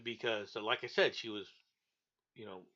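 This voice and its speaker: A man's voice: on the low side, steady tone, moderate pace, bright, forceful tone, highs cut off. A male talk show host giving blunt, opinionated news commentary, repeating himself for emphasis.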